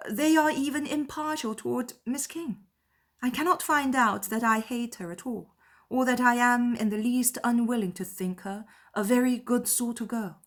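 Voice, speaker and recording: A woman voicing a candid, self-possessed young gentlewoman in a period audiobook drama, articulate, spirited and formal, with a wry streak. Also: mid-range voice, lightly acted. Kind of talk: dramatized period novel letter reading.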